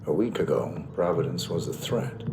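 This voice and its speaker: A man playing a stoic professional assassin in a video game, terse and deadpan. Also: sits low, moderate pace, background noise, lightly acted. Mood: guarded, stoic. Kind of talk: cinematic video game acting. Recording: loud music behind the voice.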